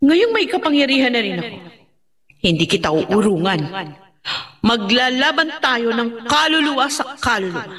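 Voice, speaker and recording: A woman's voice: fairly high pitch, very animated, normal speed, fairly bright tone. A woman playing a bold, defiant young woman with newfound magic powers in a radio horror drama. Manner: bold, challenging.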